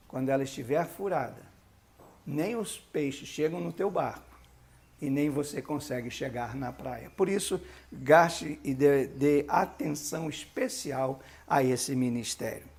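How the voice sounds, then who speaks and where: The gravel-edged voice of a Brazilian man you'd hear leading a church training session, explaining each point emphatically to volunteer leaders. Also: fairly high pitch, darkish tone. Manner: didactic, emphatic, conversational with rhetorical questions. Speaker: pastor teaching a church leadership course. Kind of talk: instructional video teaching with sermon-like exhortation.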